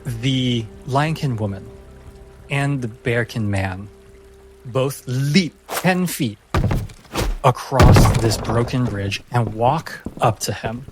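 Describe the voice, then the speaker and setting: A man narrates a tabletop fantasy game as its game master. He takes his time, phrasing with suspense and drama at a medium pitch, with soft music behind him. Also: takes it slowly.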